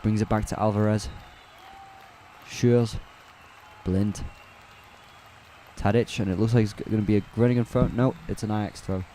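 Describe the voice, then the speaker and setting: Relaxed man giving casual match commentary. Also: lowish voice, moderate pace, long pauses, some chatter behind, a little hiss or hum. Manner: relaxed, chatty, corrects himself and answers live chat. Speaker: match commentator on a fan watch-along stream. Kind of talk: casual live play-by-play commentary.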